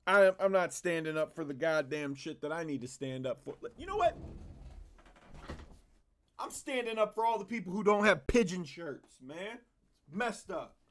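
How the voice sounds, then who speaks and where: Sarcastic, exasperated reaction host. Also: male voice, a little high, expressive, normal speed. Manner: sarcastic, exasperated, swears a lot. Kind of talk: casual reaction commentary.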